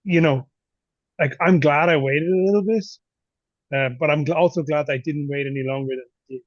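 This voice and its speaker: A man in his forties hosting a podcast, talking in a relaxed, chatty way from home over a remote call. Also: on the high side, a little fast, no echo. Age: 40s. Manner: relaxed, chatty, laughs easily. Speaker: podcast host. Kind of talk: casual conversation. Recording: dry, dull lo-fi call audio.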